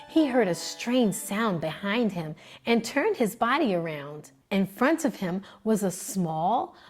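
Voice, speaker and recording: A woman librarian reading a children's picture book aloud with animated, expressive storytelling. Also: mid-range voice. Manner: animated, expressive, sing-song narration.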